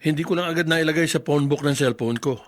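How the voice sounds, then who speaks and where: A man's voice: a little high, fast talker, natural voice. An actor playing a defensive, irritable husband and father in a radio drama, curt and self-justifying.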